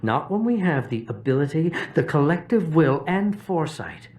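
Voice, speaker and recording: Lofty, animated political orator. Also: male voice, fairly high pitch. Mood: lofty, persuasive. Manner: measured, oratorical. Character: smooth, lofty, persuasive political advocate man. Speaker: video game character. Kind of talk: video game cutscene acting.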